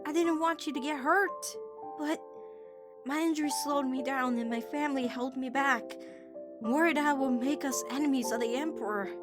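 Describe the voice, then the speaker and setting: Woman voicing an anguished, very high-pitched teenage girl. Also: over music, close to an everyday voice. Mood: haunted, subdued. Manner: dramatic, halting, pleading delivery. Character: anguished teenage girl, guilt-ridden, devoted to girlfriend. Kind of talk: emotional animated-series fan comic dub.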